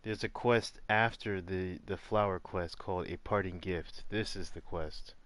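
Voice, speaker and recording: A man's voice: low-pitched, measured pace, fairly bright tone. A man giving casual gameplay commentary, rambling and thinking aloud as he goes. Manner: rambling, thinking aloud, reading game text. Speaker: gamer on a live stream.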